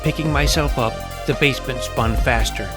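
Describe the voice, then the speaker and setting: A man's voice: medium pitch, moderate pace, natural voice. An actor narrating an audio drama in the first person as a plucky, self-assured boy detective recounting his adventures. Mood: tense, dramatic. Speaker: narrator and lead character. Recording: loud dramatic music under narration.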